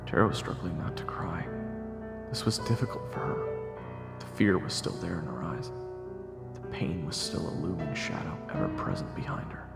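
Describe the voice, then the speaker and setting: A man playing a brooding first-person narrator in a fantasy audio drama, telling the story in a grave, measured way. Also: low-pitched, expressive, creaky. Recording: reverberant echo, music underneath.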